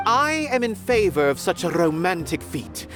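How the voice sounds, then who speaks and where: An actor playing a romantic, theatrical playwright in a game dub, with a florid manner. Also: male voice, sits high, sing-song, moderate pace. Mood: approving. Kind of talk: English game dub acting. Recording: music behind the voice.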